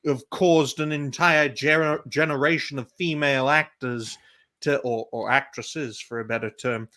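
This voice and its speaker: A man in his mid-twenties hosting a livestream chat, crude and quick with his remarks. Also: medium pitch, steady tone, bright, forceful tone. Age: 20s. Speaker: livestream host. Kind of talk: casual podcast chat.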